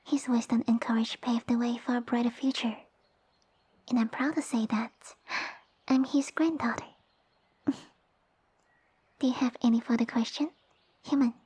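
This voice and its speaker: A woman playing a sassy, tiny snake-girl noble with pompous, formal, commanding speech and a soft breathy voice, in an ASMR roleplay. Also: fairly high pitch, fairly even intonation, a little slow, long pauses. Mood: proud, solemn. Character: haughty, sassy young lamia noblewoman, tiny monster girl. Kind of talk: ASMR roleplay audio drama.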